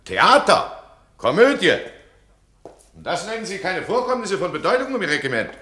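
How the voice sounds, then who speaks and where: A domineering duke in an old radio play, bright and forceful, his voice climbing and falling with temper as he scolds a subordinate. The room around him rings with a roomy echo. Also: high-pitched, big pitch swings, normal speed, full character voice. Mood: angry. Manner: commanding and scornful, swings from mocking to furious. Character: imperious, hot-tempered male duke, absolute ruler. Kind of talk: radio drama acting.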